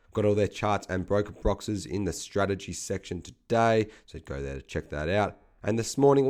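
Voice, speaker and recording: A man reading a scripted market update, a little croaky from a cold, in a brisk, businesslike way. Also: sits low, a little mellow. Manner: brisk, businesslike delivery of figures. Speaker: market commentator.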